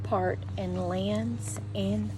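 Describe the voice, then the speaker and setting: A woman reading a children's picture book aloud in an expressive, animated way, stressing and drawing out key words. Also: mid-range voice, soft background music, rough recording. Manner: animated, storytelling with emphatic stresses and drawn-out words. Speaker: read-aloud presenter.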